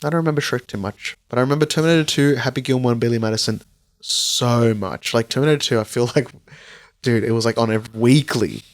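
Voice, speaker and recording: Young male co-host, rambling casual delivery, slightly husky from a cold. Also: mid-range voice, quick pace. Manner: rambling, laughs a lot, says "dude" often. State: voice a bit deep from a recent cold. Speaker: podcast co-host. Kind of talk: casual chat.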